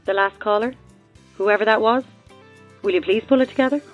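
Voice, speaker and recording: An actress with an Irish accent playing a bossy, exasperated radio producer, brisk and commanding, in an audio drama. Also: female voice, mid-range voice, dull audio, soft background music, close to an everyday voice. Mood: firm, urgent. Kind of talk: naturalistic audio drama acting.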